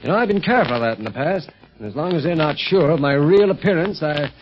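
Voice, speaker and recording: An actor playing a level-headed, protective secret agent uncle in a 1930s radio serial, matter-of-fact and gently chiding. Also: male voice, on the high side, lively intonation, quick pace, close to an everyday voice. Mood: grave. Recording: muffled, noisy 1930s radio recording.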